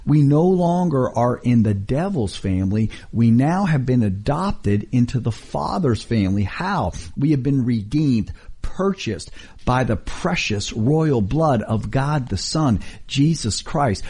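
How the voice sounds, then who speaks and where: Husky, animated male radio preacher. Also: measured pace. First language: not English. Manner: emphatic, rhetorical questions, lively swings in pitch. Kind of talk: radio sermon.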